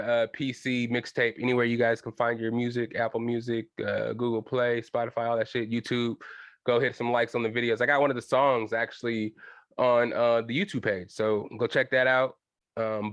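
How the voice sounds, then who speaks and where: A man's voice: medium pitch, fairly bright tone, smooth, no room sound, muffled recording. A young man with a stuffy nose hosting his own podcast alone, chatting loosely in slang and swearing, talking straight to his listeners. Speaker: podcast host. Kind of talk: casual solo podcast chat.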